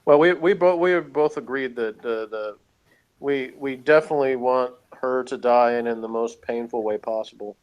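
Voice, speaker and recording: A man's voice: medium pitch, moderate pace, clean tone, highs cut off. A man chatting casually as he reviews TV, often chuckling as he talks. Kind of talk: casual conversational review chat.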